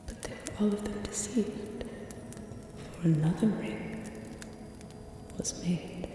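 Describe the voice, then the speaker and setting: A woman voicing a solemn narrator of an ancient fantasy legend in breathy ASMR whispers, with slow, dramatic pauses. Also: fairly low pitch. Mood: solemn, ominous. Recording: roomy long reverb, distant, background hiss.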